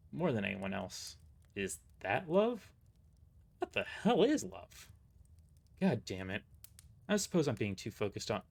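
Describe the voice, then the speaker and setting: A man's voice: lowish voice, creaky. A man narrating an audio drama in the first person, as scripted storytelling.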